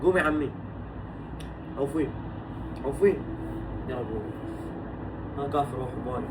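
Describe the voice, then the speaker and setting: A man's voice: medium pitch, moderate pace. A man, a husband on a family vlog who teases and playfully nags. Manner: teasing, playfully nags and urges. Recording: noisy home recording.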